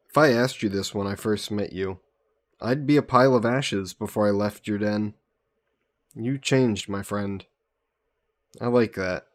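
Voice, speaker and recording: A man's voice: lowish voice, close to an everyday voice. A young man playing an easygoing, teasing fantasy hero from Earth in a roleplay audio, chatting fondly to the listener. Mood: fond, playful. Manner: unhurried, chatty, playfully teasing.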